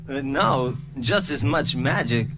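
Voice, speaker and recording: A woman's voice: a bass voice, expressive, slow, full character voice. A woman voicing a modest, friendly young female unicorn in a cartoon script read, earnest and slightly awkward. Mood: modest, thoughtful. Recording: live reading with music behind.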